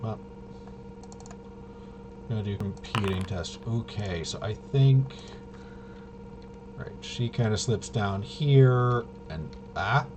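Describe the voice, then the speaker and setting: A man casually narrating tabletop roleplaying gameplay as game master, haltingly thinking aloud and muttering to himself as he goes. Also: fairly low pitch, expressive, over music.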